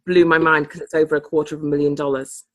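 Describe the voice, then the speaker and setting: A woman's voice: low-pitched, little pitch movement, fast. A woman chatting casually on a group video call. She laughs often and sometimes loses her thread. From Britain. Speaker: participant in a coaching group call.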